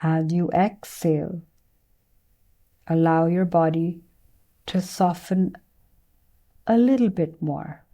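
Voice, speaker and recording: Slow, very mellow woman guiding meditation. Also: on the low side. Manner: gentle, soothing, unhurried instruction with pauses. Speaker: meditation and yoga teacher. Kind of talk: guided meditation.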